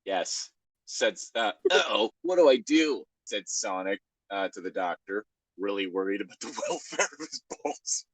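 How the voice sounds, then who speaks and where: A man's voice: lowish voice, lively intonation, moderate pace, no echo. A man hosting a comedic read-along in a casual, bantering style. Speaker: host of a comedic reading show.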